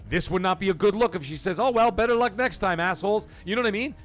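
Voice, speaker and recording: A man hosting a casual live commentary stream, talking to his chat in an animated, profane way full of rhetorical questions. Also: sits high, fast talker, soft background music. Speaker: livestream host.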